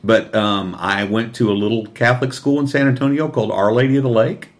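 A man's voice: medium pitch, fairly even intonation, a little fast. A middle-aged man with a Texas accent and a strong radio voice, a joking guest in casual chat who laughs easily.

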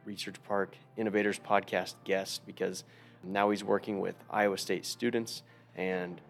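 A man's voice: deep voice, flat delivery, normal speed, gravelly, soft background music. An American man hosting a podcast in an informal, conversational way. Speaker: podcast host. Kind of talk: conversational podcast intro and interview.

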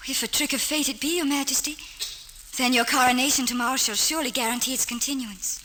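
High-voiced, gentle court lady. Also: steady tone, quick pace. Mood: gentle, concerned. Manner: formal, courtly. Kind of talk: old-time radio drama acting. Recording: noisy old radio recording.

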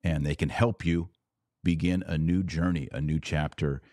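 A man hosting a podcast, giving sarcastic, animated commentary and now and then leaping to high, incredulous exclamations. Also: no echo, studio quality. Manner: slow deliberate Latin prayer recitation. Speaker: podcast host.

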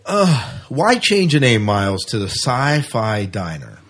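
A man's voice: mid-range voice, big pitch swings, unhurried, fairly bright tone. A man co-hosting a podcast in casual, chatty banter, with a jokey way of talking. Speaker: podcast co-host.

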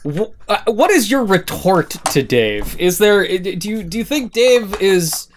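A man hosting a casual, comedic panel chat, animated and joking, often restarting his sentences. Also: high-pitched, unhurried, a little hiss or hum. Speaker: podcast host.

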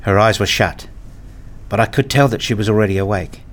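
A man reading scripted first-person narration for a radio play in a measured, understated, literary manner. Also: lowish voice, fairly bright tone. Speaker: narrator and lead character, a father.

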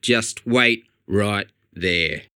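A man's voice: fairly low pitch, very slow, sharp tone, no echo, clean studio sound, voice pushed down, exaggerated voice. A man voicing a broke middle-aged male real estate agent who is agitated, exasperated and swearing. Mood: angry.

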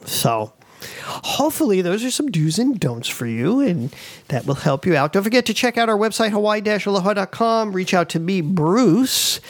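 A man's voice: sits high, animated, normal speed, no room sound. A middle-aged man with an East Coast accent, hosting a podcast and giving rambling casual advice with many fillers and restarts. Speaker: podcast host.

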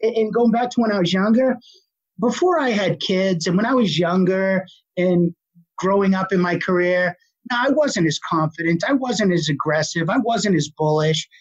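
Husky speaker with a strong Boston accent. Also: medium pitch, normal speed. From Boston, USA. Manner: confident, forceful, passionate, blunt. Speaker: guest, health advocate. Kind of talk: interview answer. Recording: dull lo-fi recording.

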